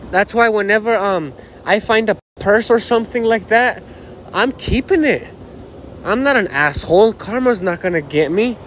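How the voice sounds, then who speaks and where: A man's voice: high voice, normal speed, fairly bright tone. An American man in his thirties vlogging casually into his phone, with a slangy, profane, boastful way of talking. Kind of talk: casual rambling phone vlog. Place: outdoors on a dirt path by a canal. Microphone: phone held at arm's length. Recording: outdoor ambient noise on phone.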